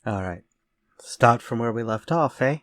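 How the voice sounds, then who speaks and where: An actor playing a sinister, vengeful ex-convict murderer in retro radio drama style, speaking in a slow, theatrical, menacing way. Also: male voice, mid-range voice, animated, fairly bright tone, no room sound. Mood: calm, sly.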